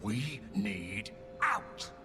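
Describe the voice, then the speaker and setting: An actor playing an anguished, vengeful trapped demon in a video game, speaking in halting fragments. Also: male voice, fairly low pitch, wildly swooping pitch, over music, full character voice. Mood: desperate, vengeful.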